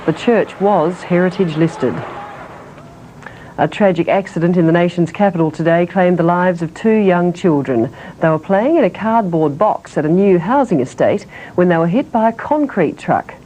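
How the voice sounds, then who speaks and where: A woman presenting a television news bulletin in a measured, even read. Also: on the low side, low-quality recording. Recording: old broadcast tape with faint hiss.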